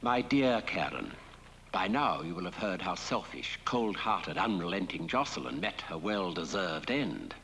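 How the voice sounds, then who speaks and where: A man's voice: low-pitched, lively intonation, gravelly, bright, forceful tone, exaggerated voice. Silky, menacing man in cavernous reverb. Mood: gloating, mock-tender. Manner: theatrical, silky, drawn-out mock endearments. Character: dead man's taunting recorded voice, menacing, mock-tender husband. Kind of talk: horror-comedy film acting. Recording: long hollow reverb, faint noise.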